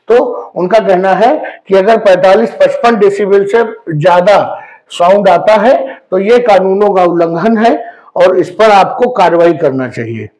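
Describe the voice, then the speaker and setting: A man's voice: high voice, darkish tone, poor audio. A man presenting a current-affairs explainer as an educator, going step by step with everyday examples.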